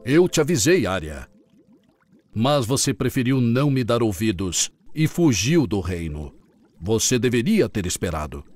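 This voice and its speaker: A man's voice: medium pitch, unhurried. An actor playing a stern old sea king scolding his daughter in a cartoon. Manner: stern, scolding, lively intonation. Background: background music.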